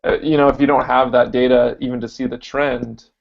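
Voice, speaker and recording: Deep gravelly guy on a podcast, rambly casual talk, kinda muffled audio. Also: level tone, brisk. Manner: loose, filler-heavy, thinking aloud. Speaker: podcast co-host. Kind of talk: casual panel chat.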